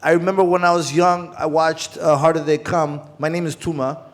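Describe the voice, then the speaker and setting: A man who grew up in Zimbabwe, hosting a music conference panel and asking long, animated questions. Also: fairly high pitch, fairly quick. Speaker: panel host. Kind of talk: panel moderation.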